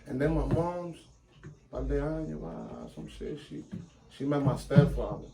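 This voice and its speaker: A man's voice: on the high side, lively intonation, a little slow, creaky, a loud crowd. A man, chatting as an interview guest in street slang and switching into Spanish now and then. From New York. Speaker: guest rapper. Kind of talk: casual interview chat.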